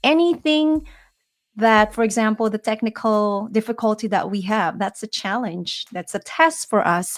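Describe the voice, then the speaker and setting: Here is a middle-aged woman co-hosting a conversational talk show. Her voice sits at a medium pitch, and she talks in long, flowing, earnest stretches. She fills them with "you know"s and keeps her delivery expressive. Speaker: podcast co-host.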